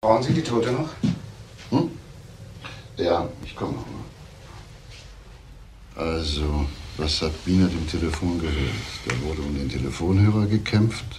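An actor playing a probing, calmly insistent police inspector in a crime drama, prodding with short questions. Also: male voice, low-pitched, unhurried, pauses often, background noise. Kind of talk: German crime drama acting.

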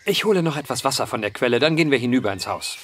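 An actor playing a wry, polite journalist who poses as a farmhand, speaking eloquently in a German audio drama. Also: male voice, medium pitch, animated, racing, slight background noise, close to an everyday voice. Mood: polite, droll. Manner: eloquent, dryly ironic, polite. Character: wry truth-seeking journalist posing as clumsy farmhand.

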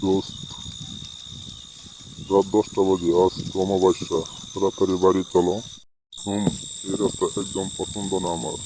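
Man playing a low-voiced, nervous young villager. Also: level tone, normal speed, pauses often, background noise, natural voice. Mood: uneasy. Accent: Bangladeshi. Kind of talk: Bengali horror cartoon voice acting.

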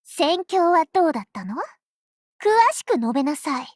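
Haughty anime game girl, very high girlish voice, swooping intonation, pompous, bossy, easily flustered. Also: lively intonation, moderate pace, no echo, studio quality. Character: haughty young warship girl, self-styled charismatic fleet leader. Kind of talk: Japanese anime game character voice lines.

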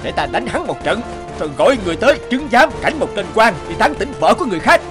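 Blustering, bullying village husband with animated pitch. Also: male voice, quick pace, over music. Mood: jealous rage. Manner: blustering, scolding, threatening. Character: brutish, jealous, bullying village husband, adult man. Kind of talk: animated folktale dubbing.

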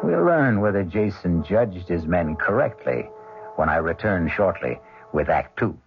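A man hosting a 1970s radio mystery, narrating in a measured, theatrical, ominous style. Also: deep voice, big pitch swings. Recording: dull vintage radio recording with music.

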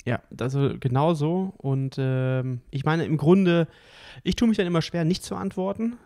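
A man co-hosting a casual podcast as a triathlon coach, talking in a relaxed way with lots of fillers and easy laughs. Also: on the high side, moderate pace.

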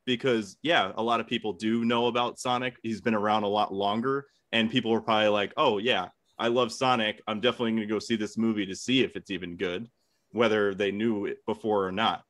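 A man's voice: fairly low pitch, fairly bright tone, dry recording, clean studio sound. A young man giving his opinion in a casual, conversational debate. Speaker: debate contestant. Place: room at home, video call.

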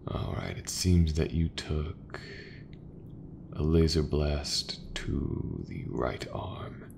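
A man playing an easygoing, folksy sci-fi mechanic in a soft, breathy, reassuring ASMR roleplay voice. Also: a bass voice, animated, background noise, heavily acted. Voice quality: gravelly, breathy, half-whispered. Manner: slow, unhurried, reassuring, colloquial. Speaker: voice actor.

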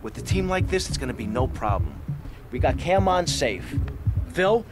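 A man's voice: medium pitch, sing-song, normal speed, loud music behind the voice. A man acting a tough, impatient gangster boss in a video game, curt, commanding and sardonic. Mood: confident.

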